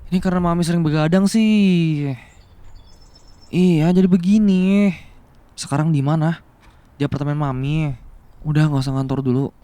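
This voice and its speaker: A man's voice: on the high side, normal speed, long pauses, smooth, no room sound, lightly acted. A young man playing a flustered, devoted younger boyfriend in a romantic audio roleplay, hesitant and tender, over music. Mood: flustered, gentle. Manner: hesitant, stammering, soft and tender. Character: flustered, devoted young man in love with his older female boss. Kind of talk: romantic ASMR roleplay acting. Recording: music behind the voice.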